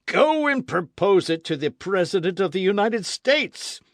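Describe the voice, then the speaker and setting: Storybook part: a fiery, indignant old veteran, a man puts him on, loud and swooping. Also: sits high, big pitch swings, measured pace, no echo, clean studio sound, voice pushed up, exaggerated voice. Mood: defiant. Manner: bellowing, indignant. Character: fiery elderly male gun-club veteran.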